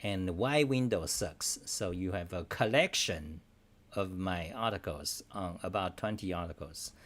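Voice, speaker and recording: A man's voice: low voice, a little slow, a bit bright. A middle-aged man whose first language is Chinese, a livestream host who rambles casually and bluntly through viewer questions, swearing freely in loose, broken phrasing.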